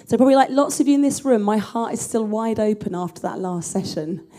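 Earnest woman panelist, mellow voice. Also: on the high side, lively intonation, brisk. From England. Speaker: panelist, church planter. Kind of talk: personal introduction on a conference panel. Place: large conference room.

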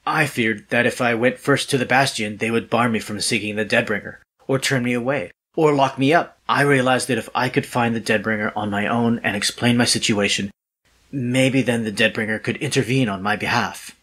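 Man acting an anxious, husky-voiced pleader. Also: medium pitch, fairly even intonation, moderate pace, a bit bright. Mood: earnest. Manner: hesitant, stammering, earnest. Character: anxious, pleading polite man seeking desperate help. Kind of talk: audio drama acting.

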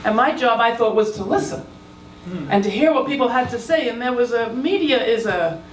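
A woman's voice: fairly high pitch, expressive, crowd noise. Woman on stage talking thoughtfully, handheld mic, far off and roomy, bit of music behind. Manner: earnest, reflective, slightly halting. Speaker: guest speaker, journalist. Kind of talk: reflective speech on stage. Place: large theater stage. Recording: echoey hall, distant mic, soft music.

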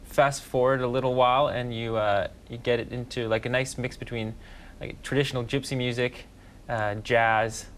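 A young man from the West Coast of Canada, a guest musician chatting casually in a TV studio, relaxed and joking with lots of fillers. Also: mid-range voice, normal speed, a little hiss or hum. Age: young adult. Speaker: band leader, guest musician. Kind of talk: casual interview chat. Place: TV news studio.

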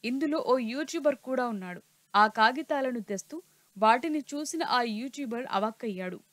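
A woman narrating a news report in a steady, formal reporting voice. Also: high-pitched, brisk, no room sound.

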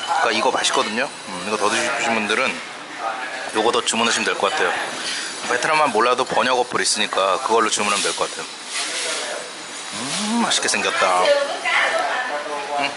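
Korean man vlogging over loud street traffic. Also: on the low side, expressive, fast, pauses often. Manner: chatty, explaining dishes and prices. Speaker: travel vlogger. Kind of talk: casual food vlog narration. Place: street restaurants outdoors.

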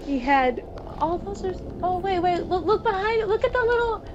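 A woman co-hosting a gaming video, reading visual novel text aloud in a playful, expressive way with casual asides. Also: very high-pitched, normal speed. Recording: dull, noisy home recording.

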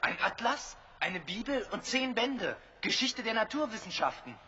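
High, husky, eager young castaway. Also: male voice, sing-song, normal speed, sharp tone, in a large hall, highs cut off, full character voice. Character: eager, curious youth among castaways.

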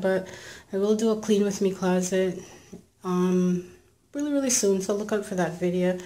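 A woman's voice: medium pitch, darkish tone. A young woman narrating a casual tour of her bedroom at home, chatting with many ums and likes while filming on a handheld camera. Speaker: home vlogger. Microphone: handheld camera, filming while walking.

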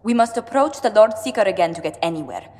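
A woman's voice: mid-range voice, animated, fast, a little mellow, in a large hall, natural voice. An actress playing a poised, diplomatic ambassador in a video game, polished and businesslike in her delivery. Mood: assured. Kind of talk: dramatic video game dialogue.

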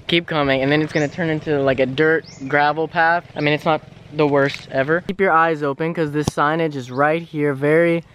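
Jovem vlogueiro falante, voz um pouco aguda. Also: male voice, fairly high pitch, fairly even intonation, brisk, hardly any pauses, fairly bright tone, a little hiss or hum. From United States. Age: young adult. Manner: chatty, talks straight to camera, many "like" fillers. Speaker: travel vlogger. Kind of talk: casual travel vlog narration. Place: outdoors on forest paths and indoors in a room. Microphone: handheld camera at arm's length.

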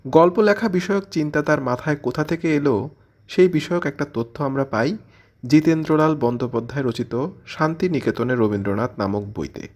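A man's voice: fairly high pitch, fairly quick, a little mellow. A man presenting a scripted literary commentary in an explanatory way, posing questions and answering them as he goes. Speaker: presenter of a literary audio channel.

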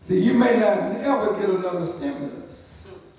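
A middle-aged man preaching a sermon as pastor, building to shouted emphasis and asking the congregation for response. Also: high-pitched, expressive, moderate pace, muffled recording. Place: church sanctuary, large, indoors. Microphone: pulpit microphone, far camera. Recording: very echoey church hall from a distance.

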